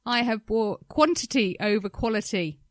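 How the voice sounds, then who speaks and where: Lively, playful woman co-hosting a podcast. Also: a little high, normal speed, no echo, clean studio sound. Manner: playful, mock-exasperated. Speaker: podcast co-host. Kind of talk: casual banter.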